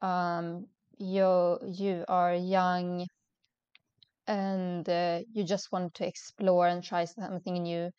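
A woman's voice: on the low side, level tone, slow, darkish tone, clear, resonant tone, no echo, dull audio. A young woman from Sweden, a guest on a podcast, speaking hesitantly over a call with many ums and restarts. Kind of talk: casual interview chat. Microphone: remote call.

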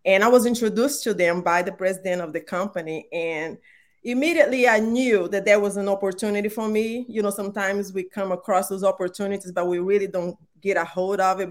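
Brazilian-accented woman telling her story. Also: mid-range voice. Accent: Brazilian Portuguese. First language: Portuguese. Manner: earnest, warm, faith-filled, frequent fillers. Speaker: podcast guest. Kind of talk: casual storytelling interview.

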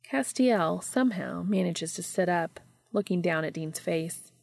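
A woman's voice: fairly low pitch, animated, unhurried, vocal fry, darkish tone, no echo. A woman reading scripted fiction as an audiobook narrator.

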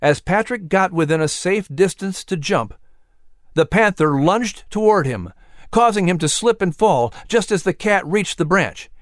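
A man reading a children's adventure story as narrator, expressive and suspenseful. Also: high voice, unhurried, dry recording, studio quality. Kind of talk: dramatic scripted story narration.

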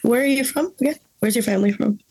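A young woman from the Bronx, a coffee grader, chatting as a guest in a blunt, profane, animated way and laughing often. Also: fairly high pitch, fast, husky. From Bronx, New York. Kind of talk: casual chat.

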